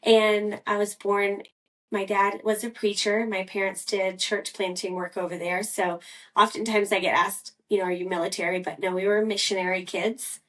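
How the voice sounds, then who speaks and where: Casual co-host: a woman who rambles and self-corrects, mid-pitched, sitting a bit back from the mic. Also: flat delivery, normal speed, fairly bright tone, distant mic, poor audio. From Scotland. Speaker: podcast co-host. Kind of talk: casual personal storytelling.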